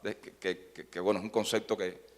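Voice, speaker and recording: A man's voice: on the low side, fairly even intonation, fairly quick. A man speaking on a panel, thoughtful and reflective, often restarting his phrases. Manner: thoughtful, with frequent restarts and hesitations. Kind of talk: academic panel talk. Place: conference hall. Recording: reverberant hall.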